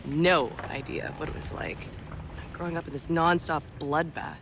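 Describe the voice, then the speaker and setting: An actress playing a weary, candid survivor hardened by war in a video game cutscene. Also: female voice, moderate pace. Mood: reproachful. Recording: clear background rumble and ambience.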